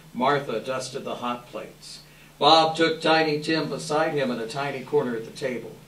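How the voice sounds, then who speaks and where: A man giving an expressive live dramatic reading of a classic story as narrator, with measured phrasing. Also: medium pitch, a little hiss or hum. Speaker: narrator, readers theater volunteer. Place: live event room. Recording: echoey room, distant microphone.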